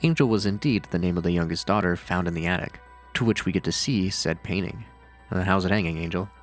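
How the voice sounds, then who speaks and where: A man's voice: low-pitched, fast talker. A man narrating scripted commentary on horror with casual, dryly sardonic asides. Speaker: video narrator and reviewer. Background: faint music.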